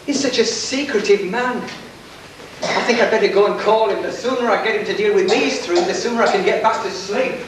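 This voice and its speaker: A man plays a bossy wife who wants her bed in an amateur pantomime. The voice is very high and comes from far off in a noisy, echoey hall. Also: a little fast, close to an everyday voice. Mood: impatient. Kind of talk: amateur pantomime stage acting. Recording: echoey hall, distant mic, hissy old video.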